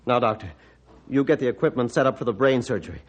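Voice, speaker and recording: An actor playing a bitter, guilt-ridden hermit who was once a surgeon, gruff and theatrical in old-time radio style. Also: male voice, medium pitch, fast, close to an everyday voice. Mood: resolute, directing.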